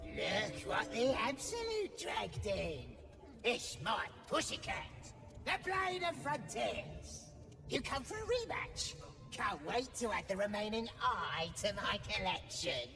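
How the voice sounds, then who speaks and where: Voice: mid-range voice, sing-song, takes it slowly, vocal fry, exaggerated voice. An actor voicing a brutish goblin torturer in a video game, sneering, crude and taunting. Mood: mocking. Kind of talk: video game character acting. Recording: distant, long hall reverb, under music.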